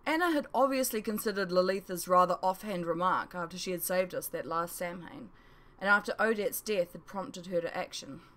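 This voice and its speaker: Female author-narrator with a lowish voice for first-person novel narration. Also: moderate pace, few pauses, fairly bright tone. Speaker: author reading her own audiobook.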